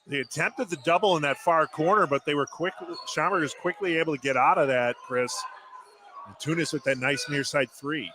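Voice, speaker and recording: A man's voice: fairly high pitch, a little fast, sharp tone. A man giving live basketball analysis as a color commentator. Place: indoor basketball gym, courtside. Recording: clearly noisy live gym broadcast. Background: clear gym noise.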